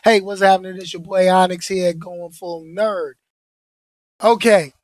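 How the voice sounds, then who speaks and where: Hoarse, laid-back male podcast host. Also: high voice, level tone, unhurried, dry recording, studio quality. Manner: laid-back, repeats himself, joking asides. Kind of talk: casual podcast chat.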